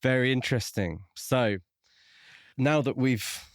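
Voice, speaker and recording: A man's voice: medium pitch, measured pace, no echo, clean studio sound. A man co-hosting a book podcast, discussing his views in a casual, conversational way. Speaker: podcast co-host reviewing books.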